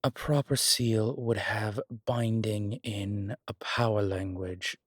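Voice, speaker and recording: A man playing a strong, scholarly demon in a horror roleplay audio, explaining things in a deliberate, halting, pedantic way. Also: fairly low pitch, fairly even intonation, no room sound, studio quality. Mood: scholarly, puzzled. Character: strong, scholarly male demon, exasperated occult lecturer. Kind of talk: immersive one-sided roleplay audio drama.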